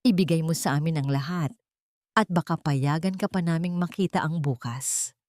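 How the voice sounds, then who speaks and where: A woman's voice: low voice, normal speed, a little mellow, no room sound, clean studio sound, lightly acted. A woman voicing a threatening, demanding male kidnapper in an audio drama story. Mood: menacing.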